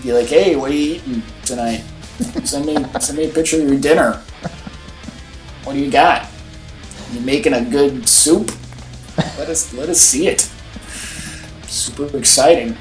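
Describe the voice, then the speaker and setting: A man's voice: medium pitch, normal speed, pauses often, faint music behind. A man co-hosting a casual film chat podcast, talking in an exasperated, hyperbolic way. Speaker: podcast co-host. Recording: echoey podcast audio.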